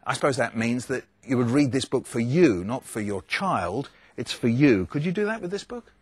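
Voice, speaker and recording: A man's voice: medium pitch, animated, normal speed, slightly rough, dry recording. A man hosting a book discussion, putting probing, rhetorical questions to the panel. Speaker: host of a book discussion programme.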